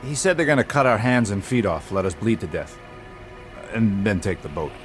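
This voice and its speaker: An actor playing a blunt, stubborn, profane sea captain and treasure hunter in an audio drama. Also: male voice, on the low side, expressive, normal speed, soft background music, natural voice. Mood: grimly insistent. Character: gruff, stubborn, hot-tempered male boat captain and treasure hunter. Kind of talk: full-cast audio drama acting.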